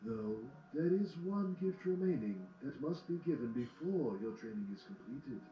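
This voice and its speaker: A man playing a wise, kindly old wizard pony mentor in a fan audio drama, speaking formally and with measured care. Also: medium pitch, animated, lo-fi sound, close to an everyday voice. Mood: solemn, reassuring. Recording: echoey, faint noise.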